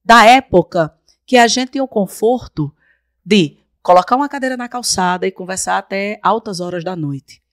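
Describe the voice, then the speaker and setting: A woman's voice: medium pitch, lively intonation, moderate pace, no echo, clean studio sound. Emphatic Brazilian woman in her forties. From Brazil, raised in Paraíba. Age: 40s. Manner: emphatic, repeats for rhetorical effect. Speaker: guest, council candidate. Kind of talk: podcast interview. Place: small podcast studio with blue-lit backdrop. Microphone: desk mic on boom arm.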